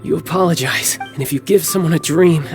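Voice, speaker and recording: Guy doing a cocky young fighter big brother from a game, voice on the high side, theatrical, faint music under. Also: a little fast, natural voice. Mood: earnest, reflective. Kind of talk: video game voice acting.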